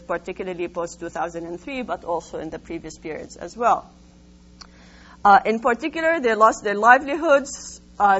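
A woman researcher presenting her findings in an academic talk, explaining in a measured way with frequent hesitant pauses. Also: mid-range voice, fairly bright tone. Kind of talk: academic lecture, semi-scripted. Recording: dull lo-fi sound with faint hum.

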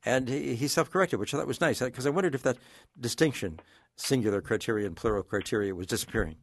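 A man hosting a radio call-in show, asking long measured questions. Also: mid-range voice, no echo, clean studio sound. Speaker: radio talk show host.

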